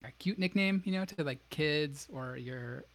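Man, slightly high voice, casual podcast chat, filler-heavy explaining. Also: unhurried. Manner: explaining, chatty with many fillers. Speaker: podcast co-host. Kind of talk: casual book discussion.

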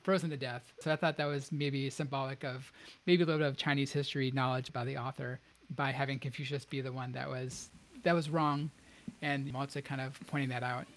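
A man's voice: medium pitch, level tone, brisk, fairly bright tone. A man co-hosting a casual podcast, explaining ideas in a chatty way with plenty of fillers. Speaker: podcast co-host. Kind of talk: casual book discussion.

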